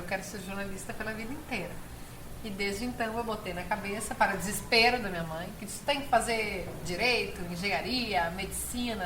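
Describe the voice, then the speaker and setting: Echoey southern Brazilian woman telling her story. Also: medium pitch. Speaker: interview guest, journalist. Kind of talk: personal storytelling in conversation. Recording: echoey room, clear background noise.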